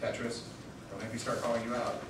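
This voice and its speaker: A man's voice: mid-range voice, flat delivery, brisk, far from the mic. A young man giving a joking, informal lecture on debate theory and quizzing his students. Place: classroom. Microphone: far room mic. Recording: echoey room recorded from afar with noise.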